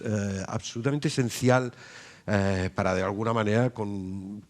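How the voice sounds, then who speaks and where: A man speaking reflectively in a panel discussion, halting and repeating words as he builds long thoughts. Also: medium pitch, a little mellow. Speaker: panelist at a congress.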